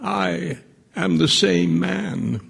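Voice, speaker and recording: A frail old juror in a stage-style audio drama. His voice is high for a man and very gravelly. He speaks slowly and haltingly, stammering with gentle earnestness. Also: expressive, heavily acted. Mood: quiet, sad. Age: older. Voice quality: thin, creaky, husky.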